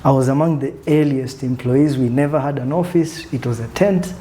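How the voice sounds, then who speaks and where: A soft-spoken man, reflecting on his career in a relaxed interview, with many fillers. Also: medium pitch, brisk. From Tanzania. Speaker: guest, country manager. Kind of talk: conversational interview.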